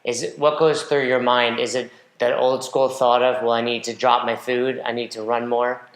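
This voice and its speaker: A man's voice: medium pitch, flat delivery, normal speed. A man hosting a casual podcast chat as a nutrition coach, direct and joking in a coach-like way. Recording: roomy echo.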